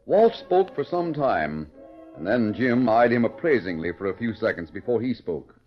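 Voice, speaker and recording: A man's voice: medium pitch, very animated, moderate pace. A man narrating a 1940s radio drama in a scripted announcer style. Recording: dull vintage radio recording with music. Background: music.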